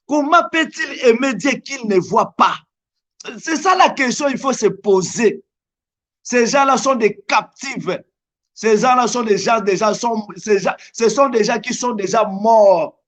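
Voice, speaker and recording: A man's voice: extremely high pitch, a bit bright. A man from Congo with a Congolese French accent, his first language Lingala, preaching emphatically as an evangelist guest on a TV talk show. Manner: emphatic, preacherly, slow stressed scripture lines.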